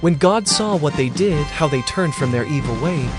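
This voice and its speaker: A man's voice: on the high side, animated, moderate pace, over music. A man narrating scripture from a script in a dramatic storytelling style.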